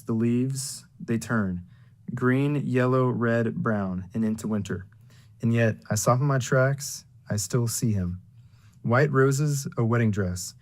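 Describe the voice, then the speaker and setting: A man reciting a poem in short phrases as a student poet. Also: medium pitch.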